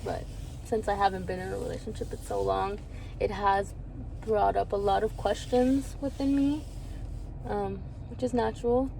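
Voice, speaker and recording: A woman's voice: medium pitch, fairly even intonation, moderate pace, gravelly, warm tone, a little hiss or hum, rough recording. A woman in her early twenties talking candidly about her feelings in a rambling personal vlog, laughing now and then. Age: 20s. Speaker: vlogger.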